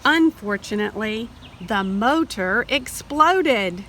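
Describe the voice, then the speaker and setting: Slow, high-voiced woman reading to children. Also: lively intonation, pushed and bright, slight background noise. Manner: explanatory, addressing young listeners directly. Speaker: storybook reader for children. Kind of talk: read-aloud picture book narration.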